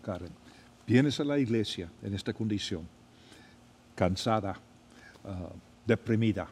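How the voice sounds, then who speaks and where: A man, a pastor hosting the podcast, asking gentle pastoral questions in a casual conversation. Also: on the low side, expressive, unhurried, in a large hall, slight background noise. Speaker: pastor, host.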